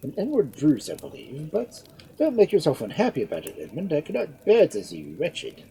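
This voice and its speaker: A woman's voice: low voice, huge pitch swings, a little fast, gravelly, mellow, a little hiss or hum, pitched above the performer's own voice, full character voice. Woman reader as a genial older duke, hearty and reassuring, storybook. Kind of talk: storybook reading.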